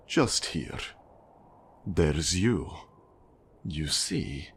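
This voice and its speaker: An actor playing a cold, menacing Russian mafia boss in an ASMR roleplay, with a Russian accent and a deliberate, condescending manner. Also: male voice, low voice, slight background noise. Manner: slow, deliberate, controlling, condescending. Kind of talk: ASMR roleplay monologue addressed to the listener.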